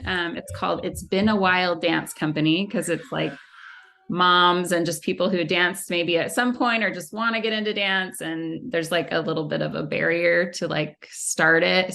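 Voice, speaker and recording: A woman's voice: fairly low pitch, sharp tone. A woman from Central California, a relationship coach guest, talking in a loose, rambling, conversational way over a video call. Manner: rambling, conversational, many fillers. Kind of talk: casual interview chat. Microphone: video call recording.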